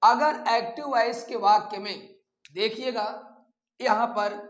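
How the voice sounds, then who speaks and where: A man's voice: very high-pitched, measured pace, a bit bright. A man teaching a grammar lesson in a didactic, repetitive way, addressing his listeners as friends. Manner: didactic, repeating key terms and addressing viewers as friends. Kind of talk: classroom-style grammar lesson. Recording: echoey room sound.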